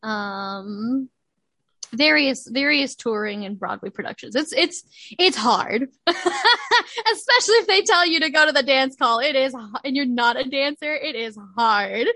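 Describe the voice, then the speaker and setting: A young woman co-hosting a casual podcast chat, animated, laughing often and acting out quotes. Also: high voice, unhurried, no room sound. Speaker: podcast co-host.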